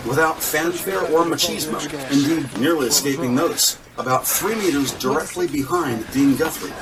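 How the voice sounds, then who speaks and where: A man narrating a scripted crime audio drama in the present tense with deliberate, descriptive delivery. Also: on the high side, crowd noise. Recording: distant voice over loud background noise.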